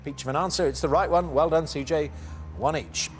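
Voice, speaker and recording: A middle-aged man hosting a TV quiz in a studio, reading questions briskly and teasing the contestants. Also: on the high side, steady tone, a little hiss or hum. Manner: brisk, teasing, reads multiple-choice questions. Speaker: quiz show host.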